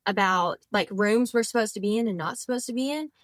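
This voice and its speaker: A woman in her twenties talks as a podcast guest, circling her thoughts with "like" and quick self-corrections. Her voice sits at an average pitch. The sound is dry and close, with no room to it. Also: dry recording. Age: 20s. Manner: chatty, rambling, frequent "like" fillers and self-corrections. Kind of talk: casual conversational interview.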